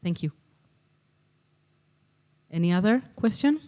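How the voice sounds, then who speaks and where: A conference session convener speaks into a handheld microphone. The voice is smooth and clear at a mid pitch. The delivery is measured, with many ums and uhs between phrases. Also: animated, warm tone. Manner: measured, with frequent um and uh. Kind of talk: conference moderation and answers. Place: conference hall.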